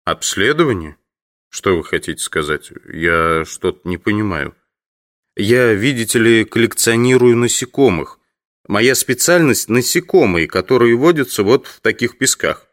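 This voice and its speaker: Low-voiced, courteous educated man played by an actor. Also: expressive, moderate pace, natural voice. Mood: puzzled, explaining. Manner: courteous, explains patiently. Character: polite, educated male teacher and amateur insect collector. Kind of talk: audio drama acting.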